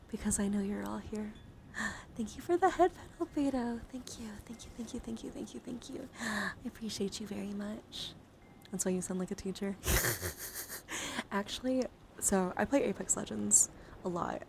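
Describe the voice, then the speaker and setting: A young woman live streaming casual ASMR chat in a soft voice that often slips into whispers, rambling and easily excited, close to the microphone. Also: medium pitch, vocal fry, slight background noise.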